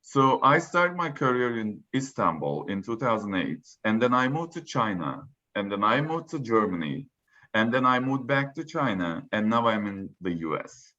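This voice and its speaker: A man's voice: mid-range voice, very animated, moderate pace, pushed and bright. A man from Turkey, a native Turkish speaker, chatting on a video call panel with many "like" fillers. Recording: dull lo-fi call audio.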